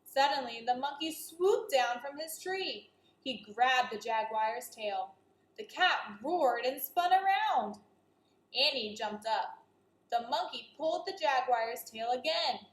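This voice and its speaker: A woman's voice: sits high, expressive, slow pace, reverberant, rough recording. A woman teacher reading a children's story aloud, expressively and speaking directly to her young listeners.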